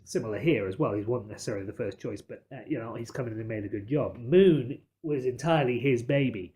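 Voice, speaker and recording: A man's voice: mid-range voice, fast talker, mellow. A man hosting a podcast in a discursive, emphatic way, qualifying his points as he builds an argument about films. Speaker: podcast host. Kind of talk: film discussion podcast chat.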